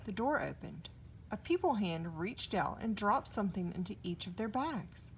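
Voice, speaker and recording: A woman's voice: medium pitch, sing-song, moderate pace. A woman narrating a children's story expressively, recorded with noise behind her. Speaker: storybook reader.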